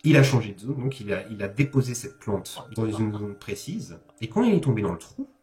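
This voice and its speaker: A man's voice: on the low side, big pitch swings, brisk, darkish tone. A man giving a casual, explanatory speedrun commentary, hesitating and correcting himself with informal asides. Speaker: video game commentator, host. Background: background music.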